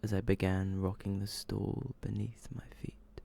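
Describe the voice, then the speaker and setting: A soft-spoken man reading short horror stories as ASMR narration, often slipping toward a whisper. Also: lowish voice, level tone, a little slow, vocal fry, slight background noise.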